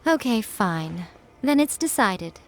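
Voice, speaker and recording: A woman's voice: mid-range voice, big pitch swings, slow pace, a little hiss or hum. A woman playing a self-assured, teasing shrine priestess in a video game, with a languid, wry, dismissive manner. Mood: teasing, haughty.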